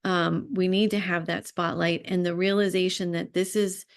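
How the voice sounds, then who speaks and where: A woman speaking off the cuff as a spiritual self-healing guide, reflective and halting, pausing often as she thinks. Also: on the low side, flat delivery, creaky. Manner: halting, thoughtful, with pauses. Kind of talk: unscripted reflective talk to viewers.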